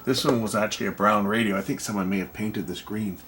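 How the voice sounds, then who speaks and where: A man's voice: fairly low pitch, steady tone, fast, fairly bright tone, a little hiss or hum. A man giving casual, hesitant commentary, thinking aloud as he works hands-on at a repair job. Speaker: host of a radio repair video. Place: indoor electronics workbench.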